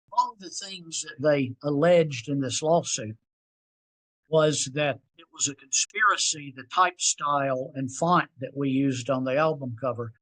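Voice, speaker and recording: A man in his sixties with a Southern US accent, a podcast guest telling stories in an animated, self-deprecating way. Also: medium pitch, poor audio. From North Carolina. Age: 60s. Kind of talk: storytelling interview.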